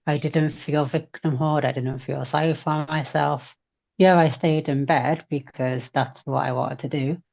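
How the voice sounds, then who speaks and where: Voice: medium pitch, steady tone, a little slow, gravelly, darkish tone. A person chatting casually and candidly about their own feelings, in a reflective, conversational way. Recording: dull, lo-fi sound.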